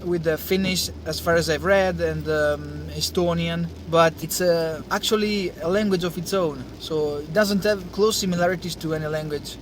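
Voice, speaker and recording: A young man with an Italian accent speaks in a fairly high voice, pausing often on fillers as he talks casually to camera. Street and traffic noise sits clearly behind him outdoors. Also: fairly even intonation. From Italy. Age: young adult. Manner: hesitant, many uh fillers and restarts. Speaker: science channel host.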